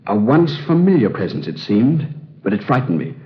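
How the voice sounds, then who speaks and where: Brief: a haunted young scholar, hesitant and anxious, lively pitch, dull old radio sound. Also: male voice, normal speed. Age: young adult. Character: haunted young male archaeologist, nervous. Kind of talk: 1930s radio drama acting. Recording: dull 1930s radio recording.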